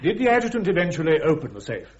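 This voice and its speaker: An actor playing a brisk army major prosecuting at a court-martial in a radio drama, with a British accent and formal, methodical questioning. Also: male voice, on the high side, sing-song, a little hiss or hum. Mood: brisk, probing. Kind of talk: full-cast radio drama acting. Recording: dull, muffled radio recording.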